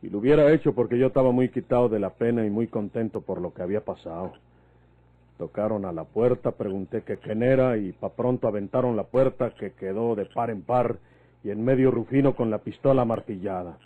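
An actor playing a plain-spoken, fatherly older country man with a rural Mexican accent in a vintage radio drama, telling things in a folksy, unhurried way. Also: lowish voice, natural voice. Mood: indignant recounting. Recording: muffled phone-like old radio recording.

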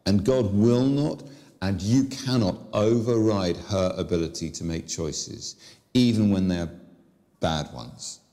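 A middle-aged man calmly explaining in a filmed conversation, measured and seated. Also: sits low. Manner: measured, explanatory, gesturing while he talks. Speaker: guest in a filmed discussion. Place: seated in a studio set, played back in a church. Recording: video clip with long hall reverb.

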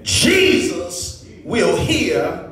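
High-pitched preacher in an echoing hall. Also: male voice, sing-song. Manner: impassioned, oratorical, builds from measured phrases to shouts. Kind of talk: sermon with rhetorical repetition and call to the congregation. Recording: echoey hall, distant microphone.

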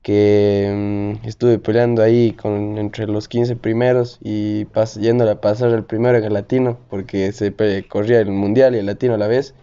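Slow-talking young rider. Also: male voice, on the low side, little pitch movement, mellow, clear, resonant tone, muffled recording. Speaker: guest rider. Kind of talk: casual chat.

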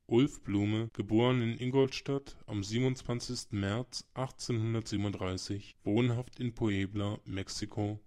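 A man playing a courteous notary in an audio drama, speaking in a formal, deliberate, official manner. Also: medium pitch, darkish tone, lightly acted. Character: formal, courteous, measured notary reading a will. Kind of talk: German audio drama acting.

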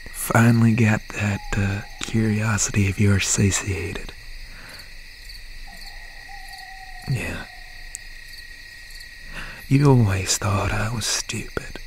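A man playing an obsessed, bitter young serial killer boyfriend in an ASMR roleplay, speaking with breathy, half-whispered menace and pauses. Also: lowish voice, noisy background. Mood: controlling, threatening. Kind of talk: ASMR roleplay, dramatic monologue. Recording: steady nighttime ambience over the voice. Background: crickets, insects and owl night sounds.

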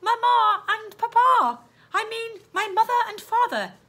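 A woman's voice: very high-pitched, big pitch swings, a little slow, sharp tone, voice pushed up, heavily acted. A woman voicing an anxious, polite young girl in a children's storybook, speaking in a hesitant, earnest, pleading way. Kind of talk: children's storybook read-aloud.

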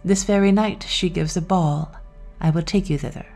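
A woman's voice: lowish voice, moderate pace, darkish tone, soft background music. Woman voicing a sardonic, boastful devil. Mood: mocking, boastful. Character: sardonic male devil, boastful, falsely friendly tempter. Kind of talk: dramatized storybook reading.

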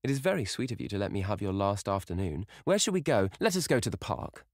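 A man's voice: lowish voice, sing-song, fast, dry recording, studio quality. A man voicing a sweet, playful young girl with a light, teasing manner in a storybook reading.

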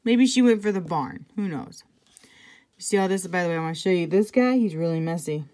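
A woman reading a picture book aloud to children, conversationally, pausing for casual asides and check-in questions. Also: fairly low pitch, animated, a little mellow. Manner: conversational, pointing things out and asking check-in questions. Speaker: intervention specialist reading to children.

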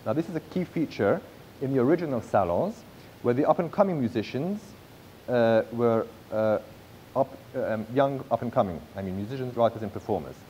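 A man in his thirties presenting a business pitch from a script on stage, with occasional slips and restarts. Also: expressive, moderate pace, darkish tone, lo-fi sound, a little hiss or hum. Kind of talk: read-aloud business pitch. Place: large theatre stage.

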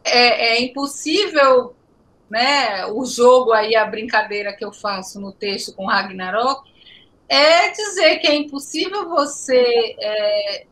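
A high, bright woman's voice from Pará, Brazil, a professor in her forties talking from home over a laptop webcam on a video call. She is reflective and explanatory, doubling words as she works through an idea. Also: slow pace. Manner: reflective, explanatory, repeats words while searching. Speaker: education professor, guest. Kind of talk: academic interview answers. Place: home room indoors.

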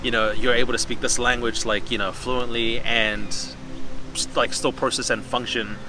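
A young man talking casually and reflectively about himself, in a halting, thoughtful way with slangy phrasing. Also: mid-range voice, level tone, noisy background. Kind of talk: reflective personal interview, casual speech. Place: outdoors in a city park. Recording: distant mic outdoors, music underneath.

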